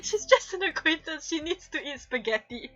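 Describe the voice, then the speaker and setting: A woman with a high, very bright voice reads fan fiction theatrically, giggling and slipping into casual asides. The sound is a muffled stream with music underneath. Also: big pitch swings, moderate pace. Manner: giggly, theatrical, often breaking off from the script. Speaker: streamer reading aloud. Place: live online stream.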